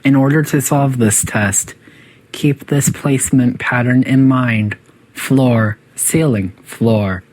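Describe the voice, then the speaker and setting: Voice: mid-range voice, slow, darkish tone, low-quality recording. A performer voicing a polite but menacing artificial intelligence that runs test chambers, making formal, clinical, passive-aggressive announcements in a video game. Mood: clinical, encouraging. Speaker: facility announcer.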